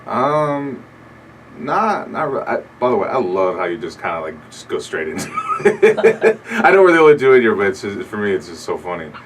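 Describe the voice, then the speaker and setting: A young man with a deep voice, a comedian talking loosely and hesitantly, with lots of fillers, in a radio studio interview. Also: moderate pace. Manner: relaxed, rambling, hesitant with many fillers. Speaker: comedian guest. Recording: distant mic, faint background hiss.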